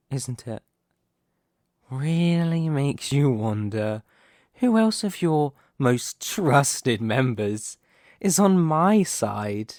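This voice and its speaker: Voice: mid-range voice, no room sound, studio quality. A performer playing a smug, possessive crime boss in an audio roleplay, speaking with mocking, theatrical menace. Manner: mocking, theatrical, slow deliberate menace with sudden swells. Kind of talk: ASMR audio roleplay, dramatic monologue.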